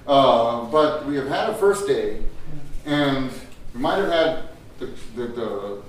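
A man's voice: mid-range voice, lively intonation, slow, a little hiss or hum. Older man leading a teacher workshop, echoey hall. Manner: chatty, laughs often, restarts. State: slightly strained voice from cough. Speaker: teacher trainer. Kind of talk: workshop talk with audience. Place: large echoey room with audience. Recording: very echoey room, voice from far off.

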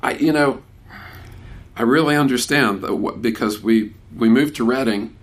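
Man in his sixties fielding questions. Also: mid-range voice. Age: 60s. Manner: warm, conversational, many restarts. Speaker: workshop speaker. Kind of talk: casual talk with questions from the audience.

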